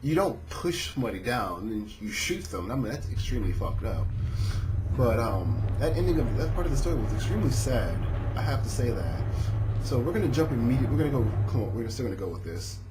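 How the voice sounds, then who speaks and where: A man's voice: medium pitch, very animated, brisk, background noise. A man narrating and commenting on a visual novel playthrough as he reads it aloud. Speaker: video game streamer reading aloud. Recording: music behind the voice.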